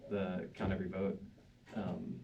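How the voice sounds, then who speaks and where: A man's voice: low voice, level tone, unhurried, gravelly, warm tone. A man at a live panel telling a story in a chatty, upbeat way with frequent fillers. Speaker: panel guest. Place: synagogue hall, live audience. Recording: dull distant sound with faint room noise.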